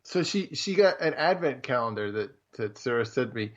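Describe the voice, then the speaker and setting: Male co-host, easygoing colloquial chat, mid-range pitch, somewhat dull lo-fi recording. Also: animated, normal speed. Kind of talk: casual chat. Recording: slightly dull, lo-fi audio.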